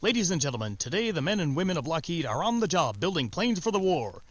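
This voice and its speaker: High-pitched, animated male radio announcer. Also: fast talker. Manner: emphatic, formal. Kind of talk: scripted 1940s radio announcing, sponsor read.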